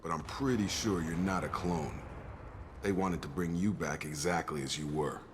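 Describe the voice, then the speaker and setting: An actor playing a steady, loyal young soldier in a video game, plain-spoken and informal with an American accent. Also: male voice, deep voice, moderate pace, noisy background, lightly acted. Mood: matter-of-fact, explaining. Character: steady, loyal male soldier and station security chief.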